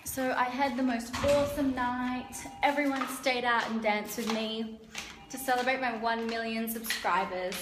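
Bubbly high-voiced young woman vlogging. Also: background noise. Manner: bubbly, chatty, laughs often. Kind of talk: casual travel vlog. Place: busy outdoor restaurants and streets at night. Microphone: phone at arm's length. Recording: loud crowd around the phone mic. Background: crowd chatter.

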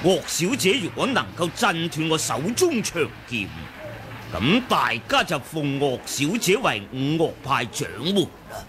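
A man's voice: on the high side, sing-song, measured pace, noisy background. An actor with a Hong Kong Cantonese accent playing a scheming middle-aged male sect leader in an old radio drama, falsely modest and needling. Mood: false modesty. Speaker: sect leader seeking to head the alliance. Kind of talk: 1980s radio drama acting. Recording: old radio broadcast with music and crowd behind.

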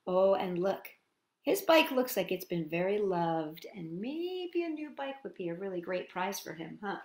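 A woman's voice: medium pitch, very animated, a little slow, echoey room. A woman hosting storytime for young children, reading a picture book expressively and addressing the children directly with questions. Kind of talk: picture-book read-aloud for young children with asides and sing-song greetings.

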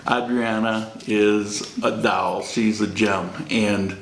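A middle-aged man chats through viewer questions in a casual, joking way. His voice is low and gravelly. The room around him rings with echo. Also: steady tone, slow pace, slight background noise. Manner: joking, laughs often. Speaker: vlog host. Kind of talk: casual Q&A chat. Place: small warm-lit room indoors. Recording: roomy echo.

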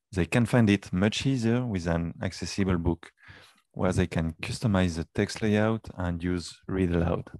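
A man with a low voice explains slides as a webinar presenter. His English has non-native phrasing, and the sound is dry and close. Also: a little mellow, no echo. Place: indoors, webinar call.

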